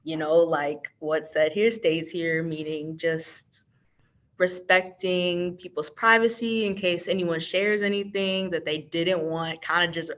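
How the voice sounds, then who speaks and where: A woman's voice: lowish voice, steady tone, a little slow, a bit bright. An American woman from Texas leading an online training in a casual, chatty, playful and informal way over a video call. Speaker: workshop trainer and organization's communications coordinator. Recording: dull, lo-fi video call audio.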